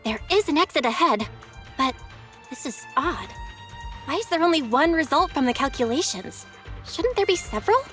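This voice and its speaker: An alert young guide in a dramatic video game. Her voice is extremely high with animated intonation, and she sounds earnest. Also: normal speed, soft background music. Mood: puzzled.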